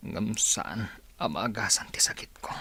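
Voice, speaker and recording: A man's voice: a little slow, bright, forceful tone, breathy edge, no echo, in a whisper, exaggerated voice. An actor with a Filipino Ilocano accent playing a sullen, despondent young rich man who is seriously ill, in a radio drama. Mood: bitter, despairing. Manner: weary, sullen, low-spoken. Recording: radio drama with music underneath.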